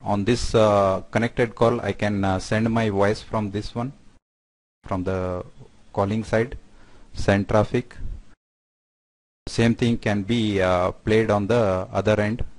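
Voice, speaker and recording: A man narrating a software product demo step by step in a halting, explanatory way. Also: on the low side, steady tone, no echo, rough recording.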